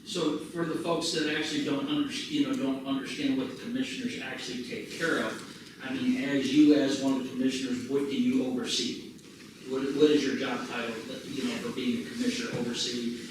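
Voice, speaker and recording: Man asking rambling questions from the floor, mid-pitched, distant and noisy. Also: brisk. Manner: rambling, restarts his questions. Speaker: community member asking questions. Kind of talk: question and answer at a meeting. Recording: echoey room, distant mic, background noise.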